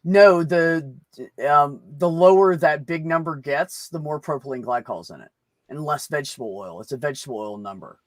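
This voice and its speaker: A man with a slightly high voice talks in a casual group chat. He is blunt and chatty and swears freely, and the sound is dry and close. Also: normal speed, no room sound.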